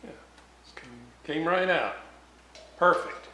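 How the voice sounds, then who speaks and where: A man's voice: on the high side, animated, takes it slowly, sharp tone. A man casually narrating hands-on repair work as a hobbyist, thinking aloud as he goes in an indoor workshop. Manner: unscripted, thinking aloud while working. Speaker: radio repair hobbyist and video host. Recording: roomy echo, noticeable background noise.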